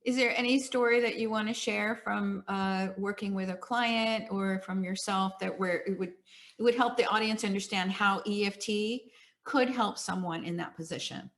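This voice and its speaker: A woman's voice: mid-range voice, moderate pace. An upbeat woman hosts an interview, greeting her guest and asking questions with easy enthusiasm. Her voice sits in a middle register and her delivery is conversational. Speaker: interview host. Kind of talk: hosting a spotlight interview.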